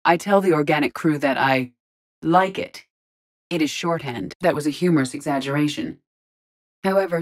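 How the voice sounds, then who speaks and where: Female android AI, low pitch, calm, logical, precise diction, formal, measured, video game character. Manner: precise, formal, measured, emotionally restrained delivery. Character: calm, logical female ship artificial intelligence in android body. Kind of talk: scripted video game voice acting.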